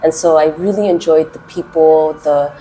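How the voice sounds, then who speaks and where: A woman from the United States in her twenties, answering interview questions casually and with energy; she speaks with a naturally loud voice that she keeps held down. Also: low voice, a little hiss or hum, low-quality recording. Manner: animated, chatty, drawn-out words. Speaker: interviewee, English teacher.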